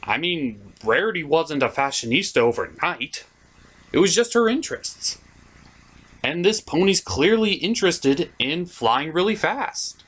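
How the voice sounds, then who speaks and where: A man with a somewhat high voice presents a comedic cartoon review. His intonation swings widely, and he leans into mock-dramatic emphasis. The commentary is scripted, with conversational asides. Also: fairly bright tone. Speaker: host of a cartoon review show.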